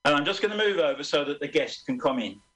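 Brief: comedy radio host, slightly raised pitch, hesitant and wry. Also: male voice, lively intonation, fast, a bit bright, low-quality recording. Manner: hesitant, filler-heavy, self-mocking.

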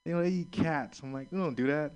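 A man's voice: a little high, quick pace. A man from Florida works through a stand-up set in a crude, laid-back way. He keeps chuckling at his own jokes and stands a little back from the stage mic. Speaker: stand-up comedian. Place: comedy open mic room. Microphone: stage mic held back from the mouth.

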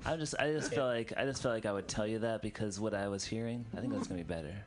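A man hosting a casual radio chat, asking questions and teasing in a halting way. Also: on the low side. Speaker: radio host.

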